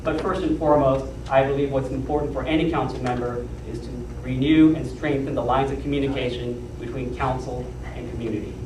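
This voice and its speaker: A man's voice: medium pitch, fairly even intonation, brisk, few pauses. A man gives a formal, emphatic campaign speech as a council candidate, repeating his key phrases. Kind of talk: prepared campaign speech at a forum. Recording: echoey room from far off, faint noise.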